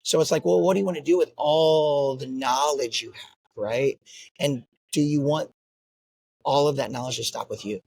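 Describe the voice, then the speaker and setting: A man's voice: medium pitch, normal speed, vocal fry, darkish tone, husky, no echo. A man who runs a martial arts school, talking earnestly in an interview and often tagging his points with "right?". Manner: earnest, repeats "right?" as a tag. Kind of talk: interview conversation.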